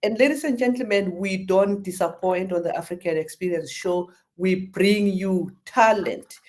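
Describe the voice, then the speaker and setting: A woman's voice: medium pitch, moderate pace, a little mellow. A woman hosting a radio interview show, talking effusively with many fillers.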